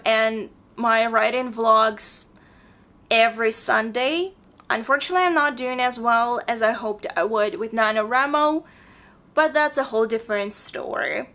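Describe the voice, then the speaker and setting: A woman talking through her reading in a relaxed vlog from home, her voice fraying as she loses it. Her tone is bright and gravelly with plenty of fry, pitched a bit high, and she fills her sentences with "like" and "um". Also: a little slow. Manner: chatty, with frequent "like" and "um". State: losing her voice. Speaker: book vlogger. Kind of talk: casual book review vlog. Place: home apartment.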